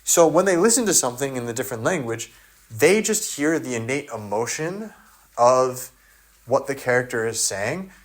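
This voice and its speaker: A man's voice: mid-range voice, animated, normal speed. A native English-speaking man in a casual solo monologue, thinking aloud with many fillers and restarts. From English-speaking country. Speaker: video essayist.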